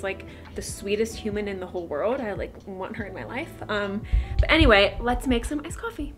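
A bubbly young woman talks fast and breezily to a handheld camera in her bright home, her voice sitting a touch high. Soft music plays faintly underneath her chatter. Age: young adult. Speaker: lifestyle vlogger. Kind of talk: casual chatty vlog talk. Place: bright home kitchen and living room.

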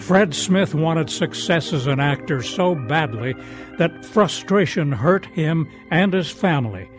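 A man's voice: fairly high pitch, animated, a little slow, over music. A man announcing on the radio, reading a scripted promo for a dramatized story.

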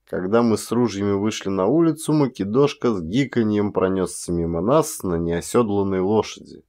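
A man with a fairly low voice narrates literary fiction. His delivery is measured and expressive, like an actor's dramatic audiobook reading.

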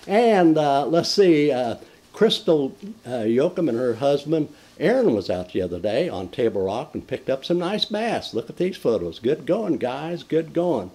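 An older man hosting a folksy fishing report from a den, talking with lively enthusiasm and frequent fillers and restarts. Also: fairly high pitch, a little slow. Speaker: fishing show host. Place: indoor den with mounted fish on the wall.

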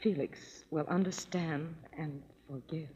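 A young bride reads her farewell letter softly in a 1930s radio play. Her voice is low and sounds distant. The old broadcast is muffled, with hall reverb and faint ambience. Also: steady tone, takes it slowly, a little hiss or hum. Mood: wistful. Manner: soft, distant letter reading.

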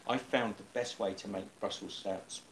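Middle-aged guy hosting a kitchen live stream, lowish voice, rambles a bit, webcam sound. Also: fairly even intonation, moderate pace, a little hiss or hum, poor audio. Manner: chatty, rambling with restarts. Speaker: host. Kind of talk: casual live stream chat. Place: home kitchen indoors. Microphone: webcam on table.

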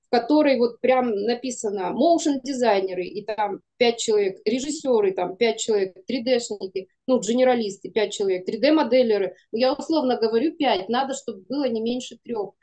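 Higher-pitched woman chatting informally. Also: a little fast, few pauses, dark tone. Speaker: guest, animation studio producer. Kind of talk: casual interview chat. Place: room indoors, video call.

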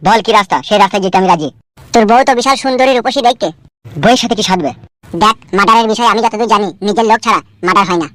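A man's voice: high voice, very fast, a bit bright, no room sound. A man voicing a scheming, coarse-talking, nervous village crook in a comedy cartoon. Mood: conspiratorial. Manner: coarse slangy back-and-forth.

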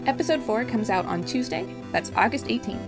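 Young woman narrating over loud music. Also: a little high, expressive, fairly quick. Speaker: podcast writer reading credits. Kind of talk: scripted narration, podcast outro.

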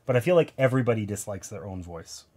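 A man's voice: on the low side, expressive, fast, warm tone, slightly rough. A man in his thirties with a nasal voice, casually talking through a board game play-through from a gaming table indoors, explaining each move with wry asides.

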